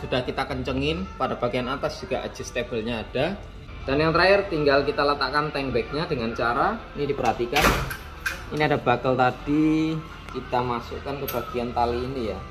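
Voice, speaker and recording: A man's voice: mid-range voice, normal speed. A man running a casual, chatty hands-on product demo in an indoor motorcycle shop, talking to viewers like friends. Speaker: motorcycle gear shop owner demonstrating a product. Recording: echoey room with background music. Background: background music.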